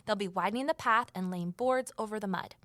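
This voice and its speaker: An actress playing a determined, resourceful amateur sleuth in an audio drama, brisk and persuasive as she narrates and talks. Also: mid-range voice, fairly bright tone, dry recording, close to an everyday voice. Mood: eager, persuasive. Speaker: narrator and lead character.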